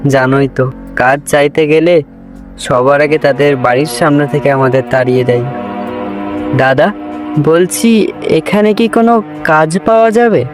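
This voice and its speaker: A man's voice: a little high, fairly even intonation, measured pace, pauses often, lightly acted. A man voicing a poor, meek, apologetic village husband in a cartoon folk tale. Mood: pleading. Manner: pleading, apologetic. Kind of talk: cartoon fairy-tale voice acting. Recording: loud background music.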